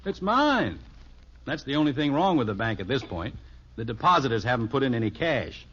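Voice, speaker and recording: Genial American sitcom dad, middle-aged, mock-formal and patient, very animated delivery, muffled 1950s radio sound. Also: male voice, mid-range voice, fairly quick, a little hiss or hum. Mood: easygoing. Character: genial middle-aged father playing banker to his children.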